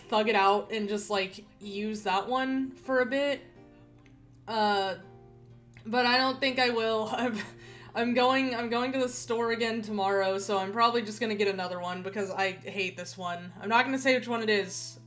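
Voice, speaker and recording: A woman streaming a game, chatting casually and rambling with many restarts, her voice a little nasal and rough. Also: medium pitch, fairly bright tone. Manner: rambling, chatty, self-correcting with frequent repeats. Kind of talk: casual gaming stream chat.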